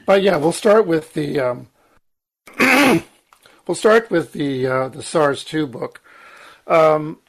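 A man in his sixties, croaky from medication, giving an earnest, emphatic talk with frequent ums, restarts and throat-clearing. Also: fairly high pitch, expressive, slow, long pauses. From Lower Mainland, Canada. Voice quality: husky, clears throat often. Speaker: guest speaker, former journalist. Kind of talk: long spoken commentary, guest talk.

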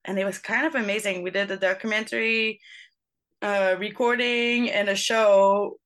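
A woman musician talks through her answers in a bright, clear-toned voice. She often stops and restarts her sentences while hunting for the right words, and the pitch sits in a middle range. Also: fairly even intonation, moderate pace. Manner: searching for words, frequent restarts. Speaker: trumpet player guest. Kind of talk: casual interview answers.

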